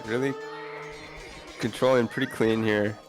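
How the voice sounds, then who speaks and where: Lower-pitched man analysing a game match. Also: fairly even intonation, measured pace, music in the background. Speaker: esports co-commentator. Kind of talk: casual analytical game commentary.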